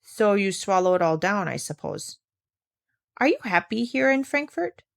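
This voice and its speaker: A woman reading aloud voices a kindly older male doctor in a storybook, speaking in a gentle, soothing way. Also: mid-range voice, big pitch swings, normal speed, gravelly.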